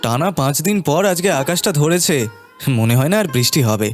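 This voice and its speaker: A man voicing a worried, gentle village farmer in a cartoon, hesitant. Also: on the high side, lively intonation, brisk, faint music behind, lightly acted. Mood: resigned, gentle. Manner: anxious, hesitant, repeating words. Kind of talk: cartoon voice acting.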